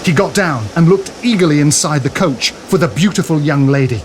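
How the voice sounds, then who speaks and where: A man's voice: a little high, expressive, a little fast, background noise. A man narrating a radio drama in a dramatic storytelling style. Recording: music and sound effects behind.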